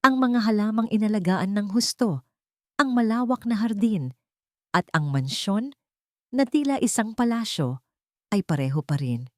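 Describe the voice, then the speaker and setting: A woman narrating a dramatic scripted story in a measured, solemn, deliberate manner. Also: medium pitch, expressive, a little mellow, no echo, studio quality.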